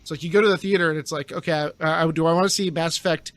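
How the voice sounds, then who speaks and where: A man's voice: fairly high pitch, steady tone, racing, fairly bright tone, no room sound. A man talking casually as a podcast panelist, explaining his opinions and acting out hypotheticals.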